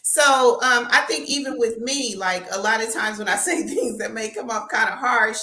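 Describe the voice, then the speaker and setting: A woman's voice: on the high side, normal speed, bright, forceful tone, echoey room. A woman on a casual live-stream chat, bubbly and conversational, often checking in with her listeners. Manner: bubbly, keeps saying "you know what I'm saying".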